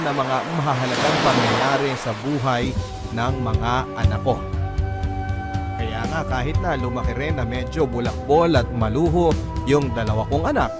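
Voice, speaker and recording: A man's voice: mid-range voice, natural voice. A man playing a guilt-ridden overseas worker father, telling his life story as an earnest, sorrowful radio confession. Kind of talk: dramatic first-person radio confession. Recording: loud background music under voice.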